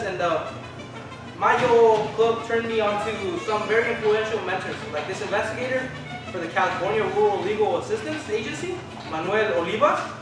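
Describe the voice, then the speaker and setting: A man's voice: sits high, close to an everyday voice. A man reading the part of a spirited young Chicano activist, telling his story in slangy, theatrical monologue with Spanish words. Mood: proud, reminiscing. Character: Philip, spirited Chicano youth recalling 1970 activism. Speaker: stage reader in a documentary play. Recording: echoey room from far off, noisy.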